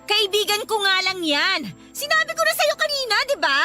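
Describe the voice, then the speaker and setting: A woman's voice: very high voice, sing-song, moderate pace, sharp tone, heavily acted. A woman playing an irritated, defiant young girlfriend in a radio drama, with a snappy, exasperated manner. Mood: defensive, annoyed. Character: irritated, defiant young woman fed up with her clingy boyfriend. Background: music.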